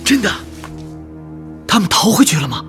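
Earnest, high-voiced young soldier. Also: male voice, big pitch swings, moderate pace, over music. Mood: anxious, despairing. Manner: earnest, deferential, emotive. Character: earnest, homesick younger male soldier, deferential to his superior. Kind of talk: Chinese radio drama acting.

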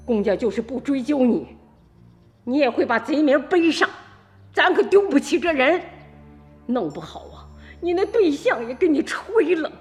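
A woman's voice: high-pitched, animated, normal speed, a little mellow, faint music behind. An actress, scolding her son sharply. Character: stern village mother, sharp-tongued and scolding. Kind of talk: radio drama acting.

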